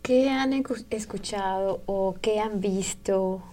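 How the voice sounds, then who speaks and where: A woman's voice: medium pitch, takes it slowly, warm tone. Laughing woman radio host. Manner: laughs often, friendly questioning. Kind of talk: community radio chat. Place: radio studio.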